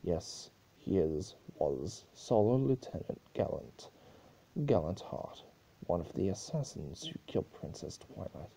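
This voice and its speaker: Guy doing a nervous young pony guard from a story, stuttery and pleading, gravelly edge. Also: low voice, very animated, a little slow, dark tone, heavily acted, rough recording. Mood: ashamed. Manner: stammering, deferential.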